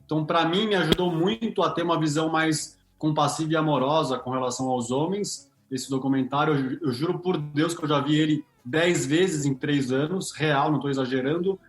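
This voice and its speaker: A man's voice: a little high, a little fast, hardly any pauses, reverberant. A man with a Brazilian Portuguese accent, a podcast guest speaking openly and a little self-deprecatingly about his work. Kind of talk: casual podcast chat.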